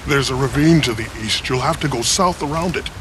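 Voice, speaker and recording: A man's voice: medium pitch, fairly quick, noisy background, close to an everyday voice. A man playing an earnest, mystical explorer and forest guide in a video game, speaking solemnly. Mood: proud. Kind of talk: video game cutscene acting.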